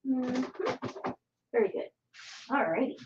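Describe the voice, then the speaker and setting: A woman's voice: high-pitched, lively intonation, slow, dull audio, noisy background. A woman hosting an art tutorial, chatting casually in a rambling way and muttering to herself as she works. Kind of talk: casual chat while painting.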